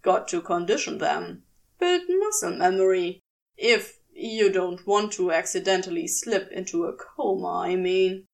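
A smug male demon from a fantasy audiobook, acted out by a woman, teasing with mock sympathy. The voice drops lower and swaggers through each line, recorded slightly away from the microphone. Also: animated, unhurried, vocal fry, distant mic. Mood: smugly defensive. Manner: mocking, faux-sympathetic. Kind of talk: fan fiction audiobook character voice.

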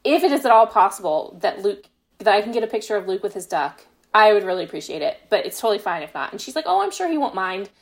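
A woman's voice: mid-range voice, very animated, quick pace. A woman talks as a guest on a podcast. She tells stories in a casual, conversational way, chatty and self-deprecating, often slipping into quoted exchanges. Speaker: podcast guest, fan fiction author.

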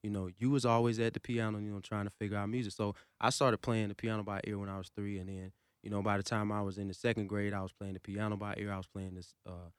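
A man's voice: lowish voice, little pitch movement, smooth, no echo. A man, a singer, telling stories as a guest in a studio interview, filling his talk with "you know". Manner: fast storytelling, many "you know" fillers. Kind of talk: casual interview. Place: podcast studio.

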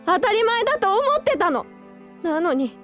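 A woman's voice: very high-pitched, big pitch swings, normal speed, a bit bright, soft background music, exaggerated voice. An actress in a radio drama playing an earnest young woman who hides her love for a childhood friend. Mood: hurt, pent-up. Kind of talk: Japanese radio drama acting.

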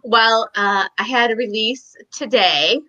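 A woman's voice: a little high, unhurried, bright, forceful tone, lo-fi sound. A woman from Michigan, a guest author chatting casually on a video call, talking with lots of "um"s and small laughs. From Michigan, USA. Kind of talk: casual podcast chat.

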